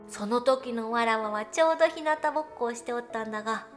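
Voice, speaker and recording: A woman's voice: fairly high pitch, quick pace, natural voice. A woman voicing a proud, thousand-year-old cat goddess who tells old tales in lordly, archaic speech, grumbling but fond. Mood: exasperated, fond. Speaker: virtual streamer in character. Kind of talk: dramatic first-person storytelling monologue. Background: music.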